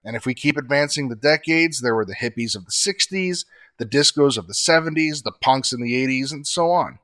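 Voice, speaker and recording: A man co-hosting a podcast, explaining facts in a measured, scripted way. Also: medium pitch, expressive, fairly bright tone.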